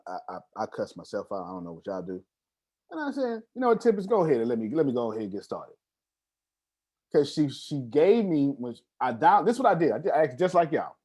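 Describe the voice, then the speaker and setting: A man's voice: medium pitch, darkish tone. A man leading an online group call as a coach, talking informally and emphatically in a motivational style, often checking that listeners follow. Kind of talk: animated motivational coaching talk.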